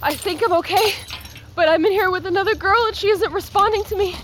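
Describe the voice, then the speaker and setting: Scared, bewildered young abductee. Very high voice, audio drama, faint noise behind. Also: female voice, fairly quick, fairly bright tone. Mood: shaken, confused. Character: frightened, bewildered young kidnapped woman.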